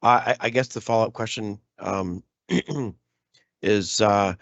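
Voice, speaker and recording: A man, a school trustee, losing his voice and clearing his throat, asking polite formal questions in a meeting. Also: fairly low pitch, measured pace, no room sound. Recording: dull lo-fi meeting audio.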